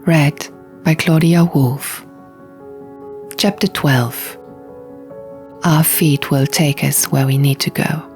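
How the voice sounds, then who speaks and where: A woman reading fiction as a dramatic audiobook narration, with measured, deliberate phrasing. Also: low-pitched, fairly even intonation, a little mellow. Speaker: audiobook reader. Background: music.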